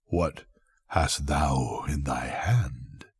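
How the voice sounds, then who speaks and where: An older man voicing a weary, relentless Death in slow, solemn, archaic speech with a husky voice, in a bedtime story. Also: very deep voice, no room sound, studio quality, pitched below the performer's own voice, heavily acted. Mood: coaxing.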